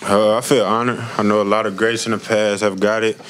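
A man's voice: fairly low pitch, level tone, brisk, fairly bright tone. A man in his early twenties, a college football player giving plain-spoken, modest answers at a press conference. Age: early 20s. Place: indoor press conference room. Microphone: tabletop microphone. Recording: noisy press room.

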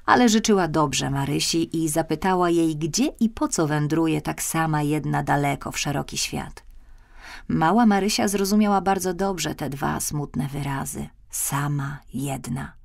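A woman's voice: low voice, normal speed, vocal fry. A woman narrating a children's audiobook, telling the scripted story expressively.

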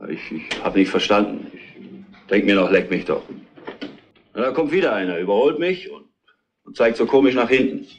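An actor playing a defensive furniture truck driver in a retro crime radio play, speaking haltingly and colloquially. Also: male voice, on the low side, very animated. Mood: uneasy, recounting. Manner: halting, colloquial, plain-spoken. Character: defensive working-class furniture truck driver, a murder suspect. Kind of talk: retro German radio crime drama acting.

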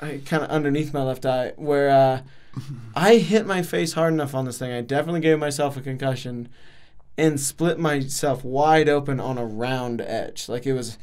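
A man's voice: medium pitch, moderate pace, clear, resonant tone. A young American man chatting and telling stories in a casual, playful, joking way on a studio mic. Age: young adult. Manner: animated, joking, playful slang. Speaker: podcast host. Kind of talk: casual comedic storytelling chat. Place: small acoustically treated podcast studio. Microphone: studio microphone on boom arm.

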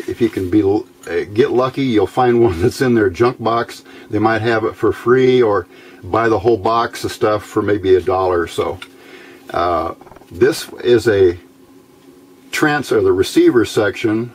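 Low-voiced American man, halting delivery. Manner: halting, thinking aloud. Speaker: hobbyist radio builder presenting a how-to video. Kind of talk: casual unscripted demonstration. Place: small indoor workbench. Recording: noticeable background noise.

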